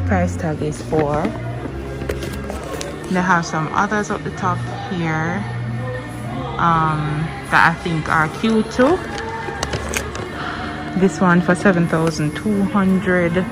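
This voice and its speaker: A woman's voice: on the low side, low-quality recording. A young woman vlogger from Jamaica, chatting casually as she browses a home decor store, with lots of ums and likes. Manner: relaxed, musing, many ums and likes. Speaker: lifestyle vlogger. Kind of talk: casual store tour voice-over. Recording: music playing under the voice. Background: background music.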